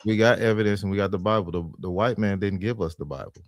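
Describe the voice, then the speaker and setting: A man's voice: on the low side, quick pace. A man on a panel pressing questions in a heated debate, stammering and restarting.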